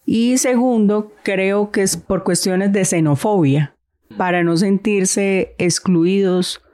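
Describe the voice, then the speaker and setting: A woman from Santander, Colombia, with a softened Santander accent, talking thoughtfully as a guest in a casual conversation. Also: lowish voice. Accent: Santander Colombian Spanish, softened.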